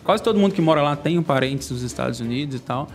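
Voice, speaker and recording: A young man from Brazil, a podcast guest, telling his life story in a fast, slangy, candid way. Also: on the high side, expressive. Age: young adult. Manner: fast, slangy, candid, laughs between lines. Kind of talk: casual storytelling interview.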